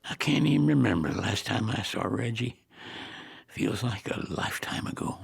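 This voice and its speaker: An actor playing a gruff, weary old mechanic in a video game, with an American Southern accent and a blunt, folksy drawl. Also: male voice, lowish voice, lively intonation, normal speed, full character voice. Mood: weary, somber. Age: older. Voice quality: gravelly, husky. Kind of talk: video game cutscene acting.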